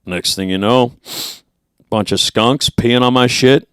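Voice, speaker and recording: A man putting on a gruff, exaggerated deep growl as a grumpy man. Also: unhurried, a bit bright, dry recording, studio quality, close to an everyday voice.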